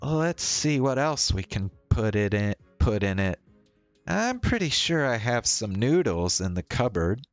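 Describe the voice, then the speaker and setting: A man's voice: medium pitch, sing-song, a little slow, soft background music. A man voicing an upbeat, casual young woman in a visual novel. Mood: matter-of-fact.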